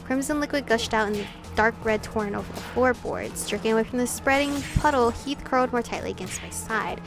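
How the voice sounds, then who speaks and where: A woman hosting a visual novel let's play, reading aloud and reacting in an expressive, sweary, giggly way. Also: a little high, a little fast. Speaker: gaming video host. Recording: music playing behind the voice. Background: background pop music.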